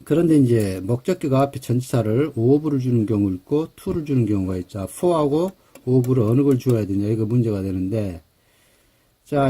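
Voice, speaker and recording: Dark-voiced man with a Jeolla accent. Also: mid-range voice, husky. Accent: Jeolla Korean. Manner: relaxed, slightly hesitant, with fillers and self-corrections. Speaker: English grammar teacher. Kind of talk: recorded grammar lecture.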